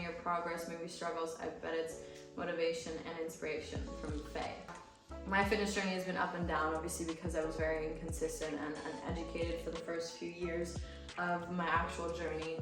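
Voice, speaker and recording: A young American woman, raised speaking Romanian, chatting and laughing through fan questions in a vlog. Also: fairly low pitch. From United States. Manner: bubbly, chatty, laughs often. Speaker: fitness creator. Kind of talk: casual Q&A vlog. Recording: echoey room with music from a distance.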